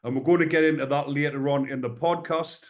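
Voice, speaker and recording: A man's voice: a little high, fairly even intonation, brisk. A man hosting a podcast, asking loosely phrased, chatty questions. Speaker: podcast host. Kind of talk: interview questions and introductions.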